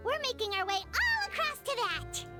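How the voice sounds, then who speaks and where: A woman's voice: very high voice, normal speed, loud music behind the voice. A woman voicing a tiny, chirpy floating girl companion in a game. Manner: chirpy, excitable.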